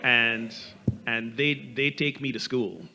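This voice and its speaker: A middle-aged man with a light Barbadian accent, speaking candidly and reflectively on a panel, in a halting way with repeated words. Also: medium pitch, steady tone, pushed and bright, slight background noise.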